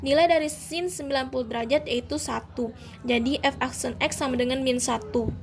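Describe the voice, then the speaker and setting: A young woman, a university student, working through calculus problems step by step, pausing to correct herself. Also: high-pitched, fairly even intonation, background noise. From Indonesia. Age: young adult. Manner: methodical, step-by-step, self-correcting. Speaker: university student explaining homework. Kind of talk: calculus walkthrough.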